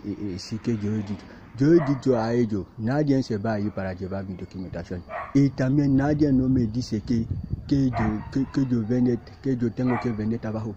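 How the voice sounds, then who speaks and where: A man speaking halting, repetitive second-language Spanish, insisting and defending himself in a self-recorded plea, outdoors in a field. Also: on the low side. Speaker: migrant speaking to camera. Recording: muffled with clear background noise and barking dog.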